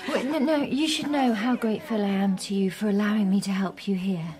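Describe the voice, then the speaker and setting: A woman performs a wistful, sincere young wife in a radio play. Her voice sits at a middle pitch. There is audible ambient noise behind her. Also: fairly quick, close to an everyday voice. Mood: earnest, grateful. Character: wistful, earnest young married Englishwoman among convent nuns. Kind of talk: radio drama acting.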